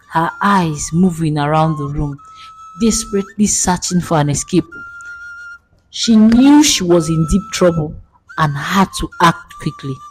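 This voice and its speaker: A woman's voice: on the low side, poor audio. A woman storyteller giving dramatic scripted narration of a folktale.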